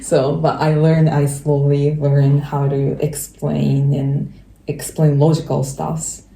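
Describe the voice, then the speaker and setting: Low gravelly Japanese woman chatting. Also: flat delivery, mellow, a little hiss or hum. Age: 20s. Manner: fillers and quick bursts. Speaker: podcast co-host. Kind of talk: casual chat. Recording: echoey room, faint ambience.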